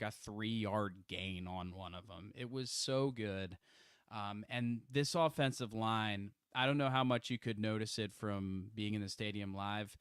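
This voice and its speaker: A young man co-hosts a casual sports podcast and rambles through his points with restarts and sudden bursts of excitement. His voice is smooth and clear. The sound is dry and close to the mic. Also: fairly low pitch, no echo. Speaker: podcast co-host.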